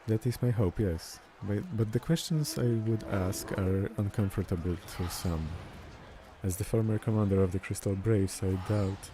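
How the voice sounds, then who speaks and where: A man's voice: lowish voice, normal speed, noisy background. A man reading game dialogue aloud as a streamer, sometimes stumbling over words and repeating them, with game music behind him.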